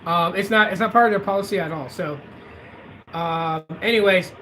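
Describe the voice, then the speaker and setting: A man hosting a livestream, rambling in a loose, repetitive way and drifting between louder and quieter stretches. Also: high voice, normal speed, a little hiss or hum, low-quality recording. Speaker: livestream host. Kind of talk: casual livestream rant.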